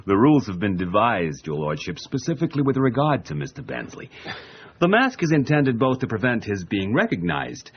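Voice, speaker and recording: An actor playing a smug, domineering wealthy financier in a period radio drama, pompous and amused. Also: male voice, mid-range voice, wildly swooping pitch, brisk. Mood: gloating, pompous. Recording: dull lo-fi radio copy, faint hiss.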